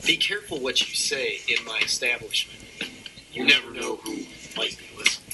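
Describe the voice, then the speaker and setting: A man's voice: mid-range voice, expressive, lightly acted. Man playing a guarded speakeasy owner, noisy room. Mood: cautioning, mysterious. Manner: measured, cautioning. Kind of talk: old-time radio drama acting. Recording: very noisy, clinking dishes behind.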